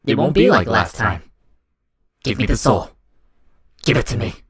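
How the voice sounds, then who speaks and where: A man voicing an eerie, coldly formal demon child, deliberate and menacing, in an audio fiction. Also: on the low side, lively intonation, a bit bright, slightly rough, no room sound, studio quality, pitched above the performer's own voice, exaggerated voice. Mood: bitter, demanding.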